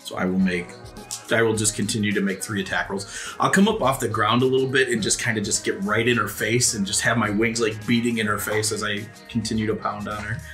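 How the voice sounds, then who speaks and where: A man's voice: on the low side, fairly even intonation, fairly quick, pushed and bright, soft background music. A man playing a tabletop game, casually describing his character's actions and calling out rolls.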